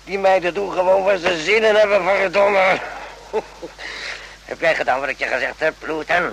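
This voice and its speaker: An actor playing a volatile, worn-out army sergeant in a radio drama, barking demands and curses. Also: male voice, high-pitched, moderate pace, fairly bright tone, slight background noise, poor audio. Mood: furious, demanding.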